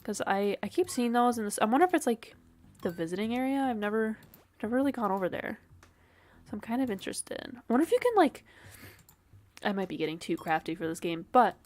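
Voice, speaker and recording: A woman's voice: on the high side, lively intonation, brisk, darkish tone. A woman streamer with a sniffly, dry throat, casually thinking aloud and swearing while she plays a game. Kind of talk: casual game livestream commentary.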